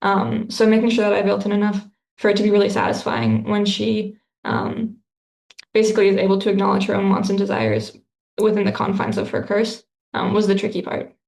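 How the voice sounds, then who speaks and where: A woman talks through her writing process in a flat, level voice with a gravelly fry. She pauses often and fills the gaps with um and like. The room around her sounds echoey. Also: mid-range voice. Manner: thoughtful, many fillers and restarts. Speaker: interviewed author. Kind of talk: casual interview answers.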